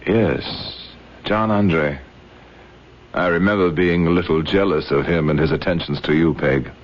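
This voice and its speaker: An actor playing a brooding, embittered older general in a radio drama, grave and world-weary. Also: male voice, sits low, measured pace. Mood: brooding, tempted. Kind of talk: old-time radio drama acting. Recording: noisy, dull vintage radio recording.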